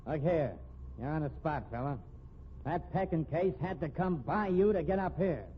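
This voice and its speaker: Actor playing a brash, high-pitched police detective, tinny recording. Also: male voice, normal speed, natural voice. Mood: hard, threatening. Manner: blunt, needling, streetwise slangy talk. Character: brash, suspicious, slangy male police detective grilling suspects. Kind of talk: 1950s radio mystery acting. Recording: tinny, noisy old radio recording.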